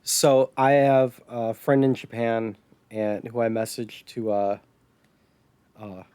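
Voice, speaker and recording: An American man chatting casually as a podcast host, somewhat halting when he describes things. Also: medium pitch, level tone, warm tone, clean tone, dry recording. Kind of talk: casual podcast chat about retro gaming.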